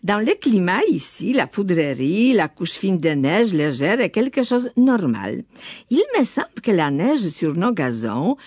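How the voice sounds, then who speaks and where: Older woman, lowish voice, measured delivery, dry sound. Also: big pitch swings, darkish tone, dry recording. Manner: measured, careful, occasional hesitations. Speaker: radio studio guest. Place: studio.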